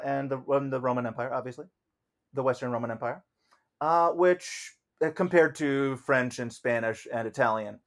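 A man co-hosting a casual discussion, loose and joking, who swears offhandedly. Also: medium pitch. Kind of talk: casual discussion of history and a play.

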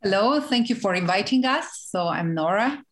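A woman's voice: medium pitch, animated, normal speed. A woman from Armenia, a film director answering interview questions carefully and searching for her words in English.

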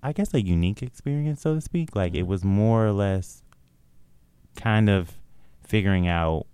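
A man's voice: on the low side, clean tone, no echo. A young man from the Midwest of the US, a podcast guest chatting casually and laughing often, recorded close on a dry studio mic. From Milwaukee, Wisconsin, US. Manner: animated, laughing, drawn-out "child". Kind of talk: casual chat.

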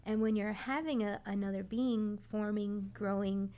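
A woman's voice: mid-range voice, steady tone, normal speed, dark tone. A young adult woman explaining things conversationally as a guest expert in a radio interview, often repeating words as she goes. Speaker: guest expert, massage therapist.

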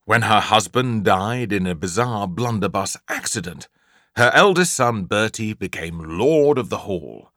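A man's voice: on the low side, lively intonation, a little slow, a bit bright, no echo, studio quality. A man with an English accent narrating a comic children's story in a theatrical, emphatic way, drawing out key words. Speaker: audiobook narrator.

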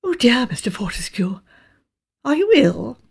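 A woman's voice: on the high side, big pitch swings, unhurried, creaky, breathy edge, exaggerated voice. A woman reading an audiobook as a haughty, poised female secretary with a genteel, impersonal manner. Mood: flustered, alarmed.

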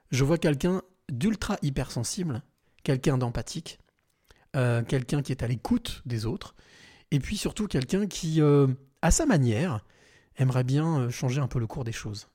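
A man hosting a podcast interview in a large room in a home, speaking warmly and stopping to search for words. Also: medium pitch, husky. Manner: warm, searching for words with repeated restarts. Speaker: podcast host.

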